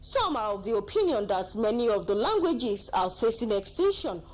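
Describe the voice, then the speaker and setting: A woman's voice: a little high, big pitch swings, moderate pace. A woman with a Nigerian accent reading a news report voice-over in a formal manner. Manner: formal, read-aloud delivery.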